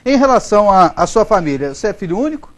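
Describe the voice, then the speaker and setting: A man's voice: high-pitched, sing-song, fast. A Brazilian man hosting a televised sports interview, opening with a polished broadcaster's introduction. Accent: Brazilian Portuguese. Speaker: interview show host. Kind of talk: TV studio interview.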